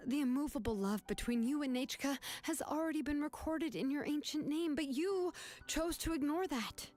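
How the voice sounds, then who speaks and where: Lady doing a calm firm young heroine, measured and earnest, highish voice, soft music behind. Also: natural voice. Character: calm, firm young woman confronting a grieving aunt.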